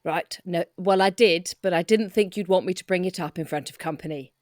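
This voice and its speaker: Lowish-voiced woman in a dry recording. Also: brisk, a little mellow, no room sound. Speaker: performer in an improvised comedy audio drama. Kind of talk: improvised in-character comic dialogue.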